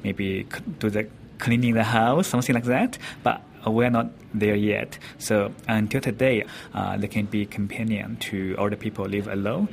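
Low, creaky man with Chinese accent. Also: steady tone, moderate pace. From China. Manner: non-native, hesitant English. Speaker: robotics company representative. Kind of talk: interview, explaining. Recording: noisy recording at a public event.

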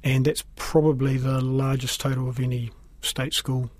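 A man with a New Zealand accent explaining things in a measured, matter-of-fact way, like a school principal in an interview. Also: mid-range voice, flat delivery, a little mellow.

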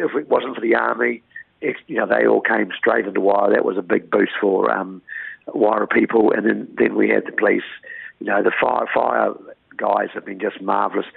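A New Zealand man speaking as a town mayor in a phone interview, with a croaky voice and an earnest, rambling way of talking that restarts words. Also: lowish voice, no echo. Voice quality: croaky, gravelly voice. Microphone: phone line. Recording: tinny phone line, dry.

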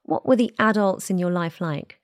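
A woman hosting a news podcast, reading scripted narration and asking guests clear, measured questions. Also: fairly low pitch, no echo, studio quality. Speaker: podcast host.

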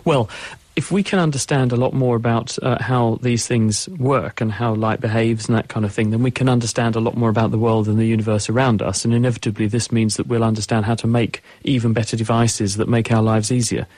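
A man's voice: mid-range voice, steady tone, brisk, darkish tone, no echo. A man on a radio call-in show, patiently explaining science to callers in a studio. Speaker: science guest expert.

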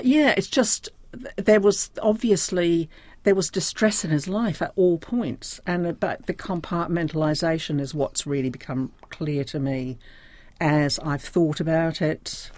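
A woman's voice: fairly low pitch, unhurried, darkish tone, dry recording. Hesitant older New Zealand woman, close dry sound. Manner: hesitant, thoughtful, searching for words. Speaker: interview guest, diary editor. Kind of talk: reflective unscripted interview.

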